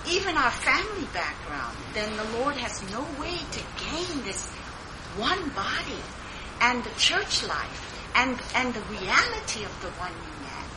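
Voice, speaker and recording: Woman, earnest church sharing, slightly high voice, noisy recording. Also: big pitch swings, unhurried. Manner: earnest, addresses listeners as saints.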